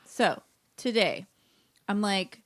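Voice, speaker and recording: A woman's voice: medium pitch, expressive, slow pace, a bit bright. An American woman co-hosting a podcast, telling rambling, self-deprecating stories in casual chat and swearing freely. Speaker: podcast co-host.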